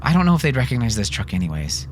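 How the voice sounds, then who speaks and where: A man's voice: medium pitch, big pitch swings, rapid-fire, a little hiss or hum, voice pushed up, natural voice. A man voicing a tough, terse woman on the run, pressing and commanding, in an audio story. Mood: steadying. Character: Miranda, tough urgent armed woman on the run.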